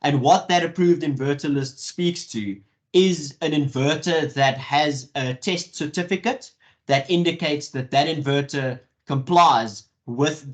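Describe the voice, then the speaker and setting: A man moderating an online webinar, speaking in a halting way with many fillers and restarts over a video call. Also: mid-range voice. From South Africa.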